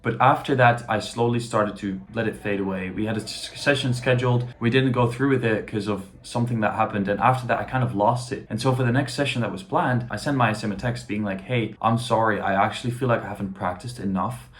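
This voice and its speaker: A man's voice: lowish voice, a little fast, hardly any pauses. A man vlogging about taking singing lessons, talking candidly and a bit hesitantly. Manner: self-conscious, candid, hesitant. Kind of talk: casual vlog narration.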